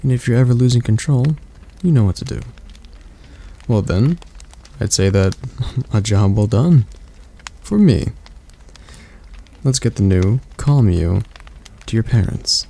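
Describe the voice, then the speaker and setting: A man voicing a male demon tutor who calmly coaches a young royal in an ASMR roleplay, speaking slowly and softly in a coaxing way. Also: lowish voice, vocal fry, heavily acted. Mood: reassuring. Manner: slow, soft-spoken, coaxing.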